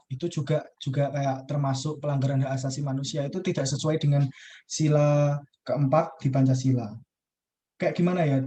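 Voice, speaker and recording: A man's voice: a little high, mellow. A young man, a university student, talking through a class discussion on a video call, casual and hesitant with many fillers and restarts. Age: young adult.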